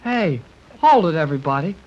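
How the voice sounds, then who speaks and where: An actress in a 1930s radio serial playing a loud, excitable, slangy teenage boy. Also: sits low, a little fast. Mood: excited. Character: brash noisy teenage boy explorer Jerry. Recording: muffled old radio recording.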